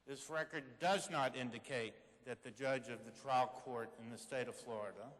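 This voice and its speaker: A dignified male attorney, played by a man on stage argues earnestly in measured, formal oratory. The voice is mid-pitched and carries a long hall reverb. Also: a bit bright, close to an everyday voice. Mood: solemn, earnest. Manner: measured, formal, rhetorical repetition. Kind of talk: readers theater oral argument, formal oratory.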